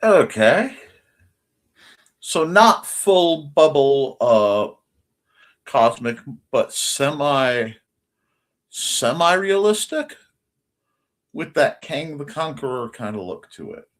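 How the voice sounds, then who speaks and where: A man's voice: on the high side, big pitch swings, takes it slowly, pauses often. A man hosting a casual drawing livestream, muttering and thinking aloud in fragments, with a slight speech impediment. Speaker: livestream host and artist.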